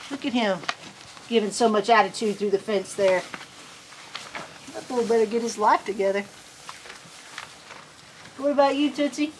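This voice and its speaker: A woman's voice: on the high side, normal speed, pauses often, poor audio. A woman reads aloud to children. Her delivery is folksy and playful, slipping between storybook narration and casual chat. She is recorded outdoors on a farm, with faint ambient noise behind her. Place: outdoors on a farm in cold weather.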